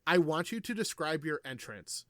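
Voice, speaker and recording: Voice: medium pitch, moderate pace, no echo, clean studio sound. A game master narrating a tabletop wrestling game, rambling with lots of fillers. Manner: lively, rambling with many fillers.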